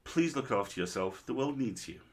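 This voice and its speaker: A man's voice: mid-range voice, lively intonation, normal speed, husky. A man hosting a casual livestream interview, chatting in an effusive, joking way. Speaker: livestream host.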